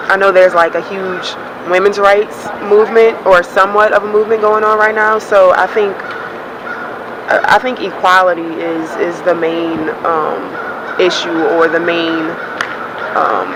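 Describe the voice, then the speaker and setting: A university senior woman in her early twenties answering interview questions hesitantly, with many pauses and restarts. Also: lowish voice. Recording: background noise.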